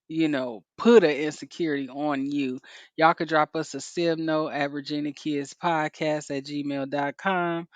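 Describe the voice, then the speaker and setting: Podcast co-host, woman, deeper voice, relaxed chatty delivery with fillers, dry close sound. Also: no echo. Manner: laughs often, many fillers like "you know". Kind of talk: casual chat.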